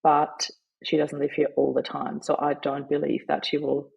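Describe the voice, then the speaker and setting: A middle-aged woman whose first language is German, sharing her story earnestly and haltingly in an interview. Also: low voice, completely flat delivery, vocal fry, breathy edge, dull audio. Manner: earnest, halting, with frequent fillers. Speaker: guest, grief advocate and author.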